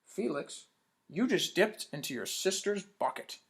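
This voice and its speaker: A man voicing a gentle, wise old grandfather in a storybook, patiently explaining. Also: high voice, expressive, measured pace, gravelly, husky, pitched above the performer's own voice, heavily acted.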